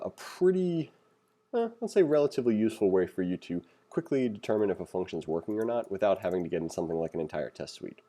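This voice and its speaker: A man's voice: low voice, dark tone. A man giving a casual, conversational programming tutorial as instructor, reading along and adding opinionated, self-deprecating asides.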